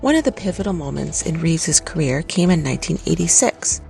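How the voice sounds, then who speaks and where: A narrator reading a scripted documentary in a steady, even way. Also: mid-range voice, brisk, gravelly. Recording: light music underneath.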